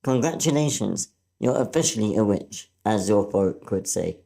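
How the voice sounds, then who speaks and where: Woman acting tough witch mentor, brusque, low mellow voice, no fuss, live table read. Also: animated, moderate pace, vocal fry, exaggerated voice. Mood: dry, brusque.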